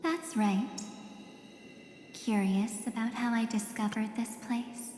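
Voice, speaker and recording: A woman's voice: a little high, fairly even intonation, slow, slight background noise. A woman voicing a composed, enigmatic young woman in a video game, with a knowing, teasing manner. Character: composed, enigmatic young woman who knows hidden truths. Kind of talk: scripted video game voice acting. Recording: echoey, long reverb, loud music behind.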